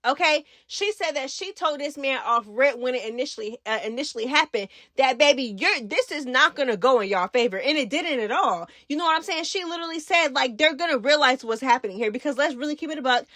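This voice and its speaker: A woman's voice: high-pitched, lively intonation, a little fast, few pauses, pushed and bright, no room sound, studio quality. A woman giving casual gossip commentary as a channel host, speaking in a sassy, emphatic, slangy way. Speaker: host of a reality TV recap channel.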